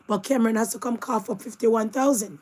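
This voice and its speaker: A woman, hoarse and losing her voice, giving casual news commentary with chatty asides to her viewers. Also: fairly high pitch, steady tone, fairly quick, a little mellow. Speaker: commentary host.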